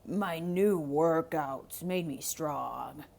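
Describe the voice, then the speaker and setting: A woman's voice: deep voice, drawn-out words, gravelly, a little mellow, voice pushed down, heavily acted. A woman voicing a greedy, sly male wolf in a children's storybook, coaxing and menacing with a growl. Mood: wheedling. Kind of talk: theatrical children's storybook read-aloud.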